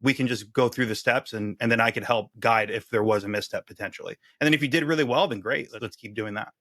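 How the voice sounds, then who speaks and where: A man's voice: medium pitch, fast talker, fairly bright tone, no room sound, clean studio sound. A man guesting on a podcast, a team leader explaining his approach in a direct, practical way. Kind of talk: casual discussion.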